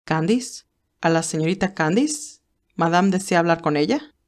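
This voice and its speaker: A woman voicing a loyal, discreet male assistant in an audiobook, with formal, polite, measured speech. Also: fairly low pitch, expressive, close to an everyday voice. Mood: deferential. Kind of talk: audiobook character dialogue.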